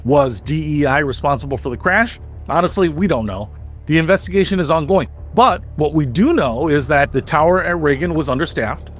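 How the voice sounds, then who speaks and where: A man reading scripted explanatory narration in a measured, emphatic, structured way, as in a video essay. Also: a little high, expressive. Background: background music.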